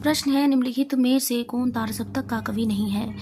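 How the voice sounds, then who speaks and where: A woman teacher reads out exam revision questions, answers and lists, reciting deliberately item by item. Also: fairly high pitch, fairly even intonation, darkish tone. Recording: clearly noisy amateur recording.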